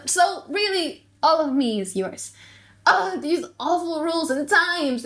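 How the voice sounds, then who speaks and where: Woman acting a lovestruck young heiress, lightly high. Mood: flustered, lovestruck. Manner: halting, sighing, giggly. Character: lovestruck young heiress, anxious, playful, rambling.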